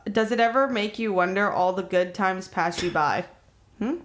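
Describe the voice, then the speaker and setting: A woman with an American accent bantering casually as a podcast co-host, blunt, teasing and quick to swear. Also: mid-range voice.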